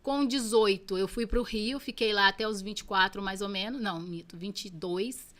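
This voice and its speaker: Bright earnest Brazilian woman. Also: medium pitch, moderate pace. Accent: Brazilian Portuguese. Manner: earnest, reflective, repeats phrases for emphasis. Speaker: podcast guest. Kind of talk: personal storytelling in an interview.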